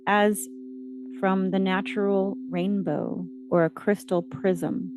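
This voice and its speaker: A woman narrating a scripted guided meditation with scripture readings, reciting in measured short phrases. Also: medium pitch, steady tone, noisy background.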